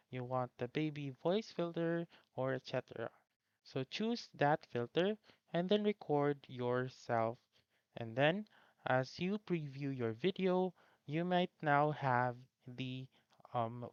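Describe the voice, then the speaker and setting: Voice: medium pitch, clear, resonant tone, no room sound, lo-fi sound. A tutorial host giving simple step-by-step instructions for a phone app in a voice-over.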